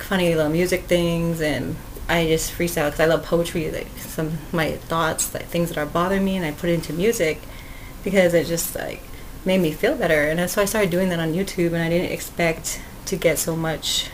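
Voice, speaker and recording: A woman talks alone into her podcast mic in a sweet, cute voice that sits slightly low. Her speech rambles along with fillers, restarts and little laughs. A faint hum of background noise runs under the recording. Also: rough recording. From Canada. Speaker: podcast host. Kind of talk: casual solo podcast talk.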